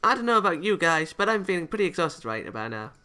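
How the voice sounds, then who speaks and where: Man voicing a bright, cheerful anime angel girl. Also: high-pitched, sing-song, fast talker, smooth, soft background music, pitched above the performer's own voice, full character voice. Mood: upbeat, reassuring.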